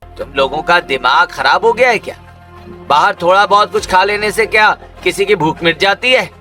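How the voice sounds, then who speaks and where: A man's voice: sits high, normal speed, pushed and bright, faint music behind. Bossy, irritable young village husband acted by a man. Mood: angry, bossy. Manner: scolding, bossy, dismissive.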